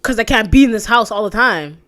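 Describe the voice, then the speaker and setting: A woman's voice: fairly high pitch, expressive, brisk, fairly bright tone. A young woman co-hosting a podcast in casual chat, blunt and teasing, swearing freely. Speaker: podcast co-host, sister of the host.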